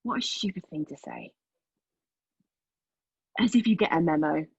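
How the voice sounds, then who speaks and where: A husky-voiced, anguished woman, pregnant and bitterly sardonic, acted by a woman at a play reading. She speaks her monologue with raw, deliberate intensity at a middle pitch. The recording is dry and slightly dull. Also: sing-song, darkish tone, no room sound, full character voice. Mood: pained, reflective. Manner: intense, deliberate, emotionally exposed delivery. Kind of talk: dramatic monologue at a table read.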